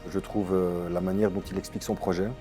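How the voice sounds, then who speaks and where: A man's voice: low-pitched, little pitch movement, fast talker, dark tone, soft background music. A man in his thirties, a refrigeration technician, giving a testimonial in an interview with some hesitation. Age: 30s.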